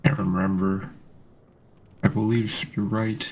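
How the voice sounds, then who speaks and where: A man's voice: on the low side, fairly even intonation, slow pace, darkish tone, a little hiss or hum. A man chatting casually as he comments on a game with a friend. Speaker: gamer watching and commenting with a friend. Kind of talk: casual chat. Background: game music.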